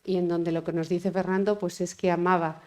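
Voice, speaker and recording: A woman's voice: fairly low pitch, level tone, brisk, a little mellow. A middle-aged woman speaking as mayor, giving a formal address at a tribute and thanking people one by one. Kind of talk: formal speech at a tribute event.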